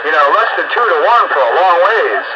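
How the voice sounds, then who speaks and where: A man's voice: high voice, very animated, a little fast. A man chatting casually about technical matters as an amateur radio operator, over a two-way radio. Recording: noisy, muffled two-way radio transmission.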